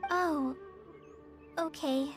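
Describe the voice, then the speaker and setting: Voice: high voice, steady tone, very slow, soft background music. A performer voicing an eager, earnest young girl apprentice in a video game, bubbly and deferential. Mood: deflated. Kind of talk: anime-style video game voice acting.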